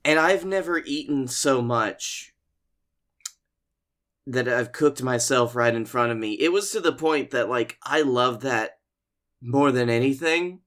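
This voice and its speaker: A man's voice: mid-range voice, unhurried, fairly bright tone. A man talking casually and informally as the co-host of a comedy podcast.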